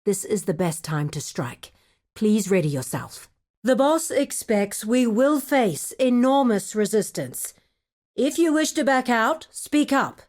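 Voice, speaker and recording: A woman playing a composed, dutiful lieutenant to a crime boss in a video game, speaking in a measured, formal, businesslike way. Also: fairly high pitch, sing-song, darkish tone. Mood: focused, businesslike. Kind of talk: video game character voice acting.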